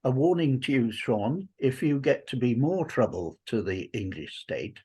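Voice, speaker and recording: A man with a husky, rough-edged voice at a middle pitch talks as a guest in an interview. His delivery is conversational, with a touch of theatre in how he puts things. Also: animated, measured pace, warm tone.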